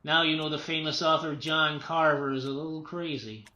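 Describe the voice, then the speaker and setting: An actor in a radio play playing a tense, unravelling writer and father, agitated, irritable and repeating himself haltingly. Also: on the high side, steady tone, sharp tone. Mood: uneasy, defensive.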